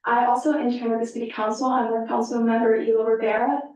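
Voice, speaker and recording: A woman's voice: on the high side, level tone, quick pace, a little mellow. A young woman from San Diego, a student candidate answering an interview with proud enthusiasm. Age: young adult. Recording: echoey room, distant mic.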